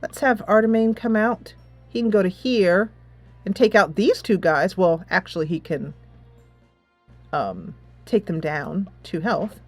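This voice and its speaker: A woman's voice: on the low side, measured pace, faint music behind. A woman gaming host giving casual commentary, thinking aloud as she plays a tactics game.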